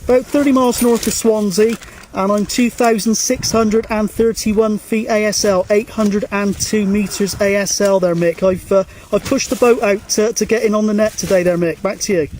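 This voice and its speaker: High-pitched man chatting on CB radio outdoors. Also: steady tone, normal speed, a little mellow, slight background noise. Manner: hesitant, matey, uses radio jargon. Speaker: CB radio hobbyist, video host. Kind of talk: casual radio chat and outdoor vlog. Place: outdoors on a mountain.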